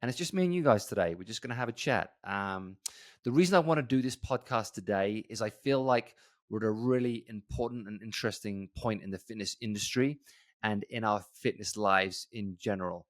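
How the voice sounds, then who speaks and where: A man in his forties hosting his own fitness podcast alone, talking casually to his listeners with many pauses and restarts. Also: fairly low pitch, dry recording, clean studio sound. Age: 40s. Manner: rambling, many ums and restarts, conversational. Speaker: gym owner and podcast host. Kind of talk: casual solo podcast talk.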